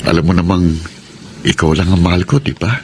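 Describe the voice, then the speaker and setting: A man's voice: low voice, fairly quick, a little hiss or hum. A man with a Filipino accent playing a defensive, sweet-talking boyfriend in a radio romance drama. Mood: defensive, coaxing. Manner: coaxing, earnest. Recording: music bed underneath.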